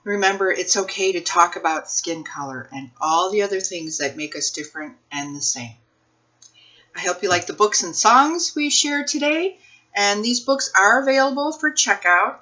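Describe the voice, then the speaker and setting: A woman speaking as a children's librarian at a read-aloud storytime, expressive and addressing young children and families. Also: medium pitch, moderate pace, dull audio. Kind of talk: picture book read-aloud and talk to families.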